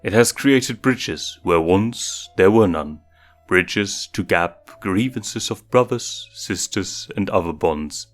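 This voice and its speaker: A man voicing a calm, proud lion king and father in a measured, regal manner for a story. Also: low-pitched. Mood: solemn, regal. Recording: music behind the voice.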